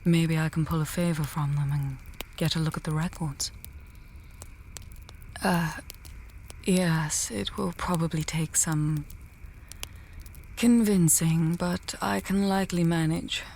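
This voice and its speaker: Female actor for scholarly fantasy mage: lowish voice, measured, earnest, dryly testy, noisy ambience behind. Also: steady tone, a little mellow. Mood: grave, resolute. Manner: measured, thinking aloud. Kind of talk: fantasy audio drama acting.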